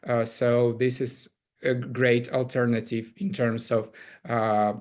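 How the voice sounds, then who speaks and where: Halting Ukrainian-accented man, flat delivery. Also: mid-range voice. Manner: hesitant, many filler pauses. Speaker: camp CEO presenting. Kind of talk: webinar presentation.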